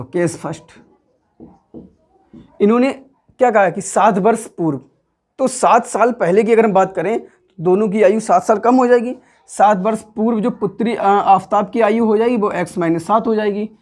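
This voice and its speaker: A man's voice: sits high, moderate pace, darkish tone. Hindi-accented man teaching a maths lesson. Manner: asks the class questions and answers them himself; patient, repeats each step. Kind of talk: classroom lesson, explaining step by step.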